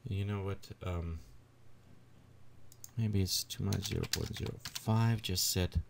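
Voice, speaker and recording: A man with leading a software tutorial at his computer, thinking aloud as he adjusts settings. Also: sits low, steady tone, gravelly, a little hiss or hum. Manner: unhurried, thinks aloud while clicking through settings. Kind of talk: screen-recorded software tutorial.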